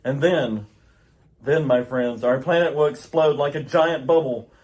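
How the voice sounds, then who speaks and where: A woman's voice: a bass voice, unhurried, darkish tone, voice pushed down. A woman playing a grave male scientist who warns urgently of doom in an amateur old-time radio drama. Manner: solemn, urgent, declamatory.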